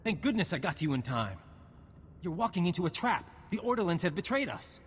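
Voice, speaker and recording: In a reverberant space, a cowardly young-sounding game soldier whose highish voice swings about as he falters. He is evasive and pleading, with faint noise behind him. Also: big pitch swings, fairly quick, breathy edge, exaggerated voice. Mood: anxious, urgent. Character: nervous, cowardly male soldier turned traitor, pleading. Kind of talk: video game cutscene acting.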